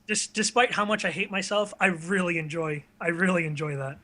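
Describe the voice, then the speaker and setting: A man's voice: high-pitched, steady tone, brisk, sharp tone. A young man chatting casually as a guest in an interview. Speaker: guest, video creator. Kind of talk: casual chat.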